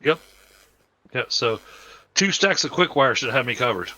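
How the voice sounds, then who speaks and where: A man's voice: medium pitch, big pitch swings, normal speed, sharp tone, dry recording, low-quality recording. A man with a Southern American accent, a streamer casually chatting while playing, explaining at length with plenty of fillers. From Southern United States. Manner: easygoing, rambling explanations with many fillers. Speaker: streamer hosting a multiplayer game. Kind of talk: casual game chat.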